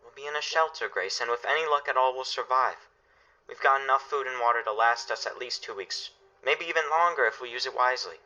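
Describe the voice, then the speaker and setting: The sound is dull and band-limited, and the voice is forceful and very bright. An actor plays a family father in a radio play, giving firm orders and steady reassurance under pressure. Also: mid-range voice, a little fast. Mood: firm, reassuring. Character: steady, commanding family father preparing for disaster. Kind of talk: radio drama acting.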